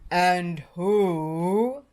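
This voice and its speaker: Woman putting on a booming, thundering male giant. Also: darkish tone. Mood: menacing. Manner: booming, bellowing, drawn-out words. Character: thundering male giant, huge, stern, mocking.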